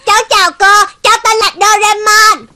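A woman's voice: very high voice, flat delivery, normal speed, background noise. A woman voicing an anxious young robot cat, fretful and exclaiming but polite like a dutiful child, in a cartoon dub. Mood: polite, insistent. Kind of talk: Vietnamese cartoon dub acting.

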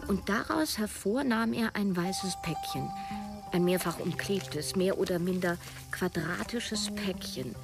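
Low, creaky-voiced female narrator. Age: 30s. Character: woman writer in her thirties, wry, observant narrator. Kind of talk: scripted first-person radio play narration.

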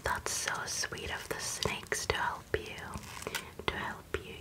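Woman whispering slowly for ASMR. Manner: slow, playful whispering. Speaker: ASMR creator.

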